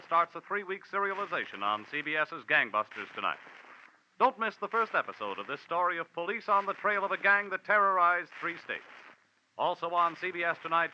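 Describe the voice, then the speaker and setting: High-voiced vintage radio announcer man. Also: pushed and bright. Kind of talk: 1940s network radio announcing, scripted promo. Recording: muffled, noisy old radio recording.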